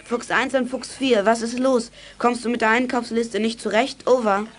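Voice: medium pitch, fairly even intonation, normal speed, noisy background, lightly acted. A performer playing a decisive, cheeky child who leads young detectives in a children's radio play. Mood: commanding. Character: bossy, self-assured child leader of young detectives.

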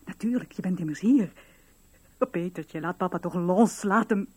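A woman's voice: on the low side, moderate pace, a little mellow. An actress playing an intense, emotional young wife and mother in a 1970s radio drama. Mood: overwhelmed, tearful. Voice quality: very breathy, husky.

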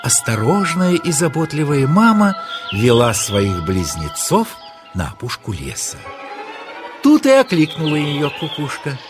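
A man narrating forest tales for children as an expressive storyteller in an audio play. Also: on the high side, a little slow, over music. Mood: unhurried.

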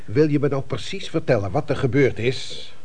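An actor playing a fatherly but sceptical family doctor in a Dutch radio play, questioning deliberately with thoughtful hums. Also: medium pitch, a little mellow, lightly acted. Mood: patient, probing.

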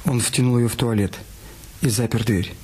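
A man's voice: medium pitch, fairly even intonation, normal speed, slightly rough. A man reading scripted literary narration in a radio play. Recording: loud music under the voice. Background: loud music.

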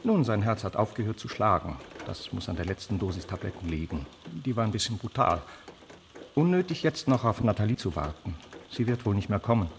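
A low, creaky-voiced man, an urbane cynic in a radio drama. He sounds sardonic and detached, over clearly audible noise. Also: expressive, a little fast. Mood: cool, sardonic. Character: cynical, cold, urbane opportunist, detached about death. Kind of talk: German radio play monologue. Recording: clearly noisy background.

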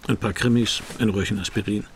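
A man playing a weary, wry private detective in a noir radio drama, speaking tersely and dryly with a gravelly voice. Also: lowish voice, steady tone, brisk, slight background noise, close to an everyday voice. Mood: wry, brooding. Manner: terse, laconic, dry. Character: weary, wry male private detective narrating his case.

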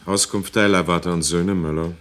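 Radio drama agency man played by a man: low voice, curt, grumpy, faint noise in the background. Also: fairly even intonation, brisk, lightly acted. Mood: impatient. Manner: curt, impatient. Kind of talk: naturalistic German radio drama.

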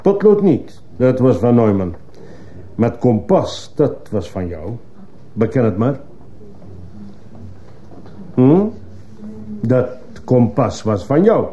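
A very dark-voiced, stern judge. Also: male voice, medium pitch, very animated, takes it slowly, long pauses. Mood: stern, probing. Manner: insistent, clipped questioning. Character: stern, probing male judge questioning in court. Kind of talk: radio drama acting. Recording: muffled, hissy old radio play recording.

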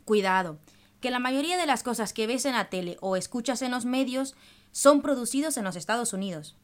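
Higher-pitched woman presenting radio. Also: lively intonation, a little fast. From El Salvador. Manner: explanatory, didactic. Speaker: radio programme host. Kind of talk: scripted radio presentation in dialogue.

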